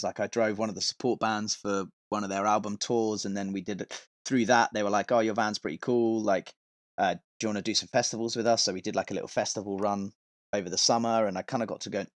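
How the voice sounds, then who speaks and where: A man with a fairly low-pitched voice talks as a guest on a podcast. He tells stories in a loose, rambling, informal style with some swearing. The sound is dry, with very little room on it. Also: steady tone, a little fast, no echo. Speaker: podcast guest, touring metal vocalist and tour manager. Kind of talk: casual podcast chat, telling stories from touring.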